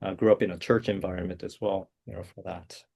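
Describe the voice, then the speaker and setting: Gravelly low-voiced man. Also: little pitch movement, quick pace. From South Korea. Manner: soft-spoken, hesitant, many uh and um fillers. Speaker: guest composer. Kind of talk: interview answers.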